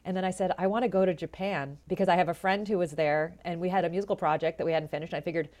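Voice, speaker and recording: A woman in her forties with a low voice, telling her story in a chatty, animated way as an interview guest. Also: very fast. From Florida, USA. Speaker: jazz vocalist and educator, guest.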